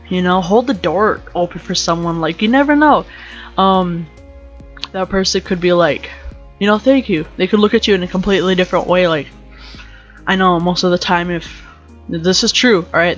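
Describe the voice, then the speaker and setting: Young woman host, deep voice, rambling jokey rant, lo-fi home recording. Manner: rambling, joking, self-interrupting. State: slightly sick with allergies. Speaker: podcast host. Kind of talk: casual motivational rant.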